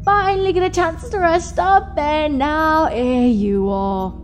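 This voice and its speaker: A woman's voice: sits high, takes it slowly. A woman voicing a weary, overworked unicorn pony timekeeper in an audio drama, with a dry, matter-of-fact manner. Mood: weary surprise. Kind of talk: fan audio drama acting. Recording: music playing behind the voice.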